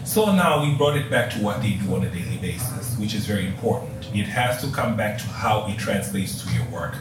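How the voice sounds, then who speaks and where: A man with a Tanzanian accent, an emphatic, animated coach talking about customer service. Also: lowish voice, fairly quick. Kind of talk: coaching talk. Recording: echoey room, distant mic, noisy.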